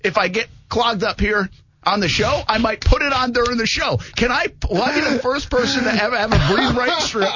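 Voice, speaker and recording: A man's voice: very high-pitched, a little fast, bright, forceful tone, slight background noise. A man in his thirties hosting a sports radio show, chatting casually and rambling with many fillers and restarts. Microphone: studio microphone. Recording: muffled radio studio mic.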